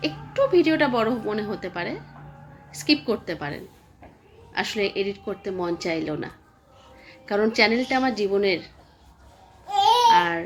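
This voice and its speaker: A woman's voice: mid-range voice, fairly bright tone, a little hiss or hum. A woman speaking casually to her viewers as a vlogger, narrating personal home videos. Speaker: vlogger, channel host. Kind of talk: casual personal vlog voice-over addressing viewers.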